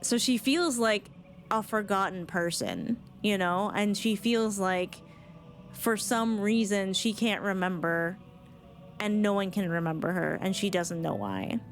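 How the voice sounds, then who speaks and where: A woman's voice: medium pitch, expressive, unhurried, faint music behind. A woman giving casual live commentary on a video game, emotive and informal, rambling and sweary. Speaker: streamer reacting to game cutscenes.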